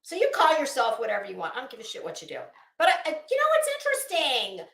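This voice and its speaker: A high-pitched American woman delivers casual, sarcastic livestream commentary. Her intonation is highly animated and emphatic, with a salty, scornful edge. The sound is roomy and echoing. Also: quick pace, gravelly. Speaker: online livestream host.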